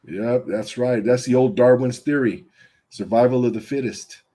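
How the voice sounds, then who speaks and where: A man hosting an interview, affable and agreeable, with drawn-out fillers as he searches for words. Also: mid-range voice, fairly even intonation, dark tone. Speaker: interview host, gym owner. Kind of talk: casual interview chat.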